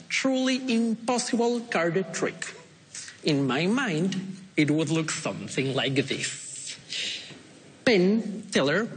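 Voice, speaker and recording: Playful magician with a Latin American accent. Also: male voice, high voice. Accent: Latin American Spanish. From Ecuador. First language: Spanish. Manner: deliberate, playful, polite, with pointed pauses. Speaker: stage magician. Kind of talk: stage magic patter to volunteers. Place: TV show stage in a large hall. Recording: echoey stage hall with noise.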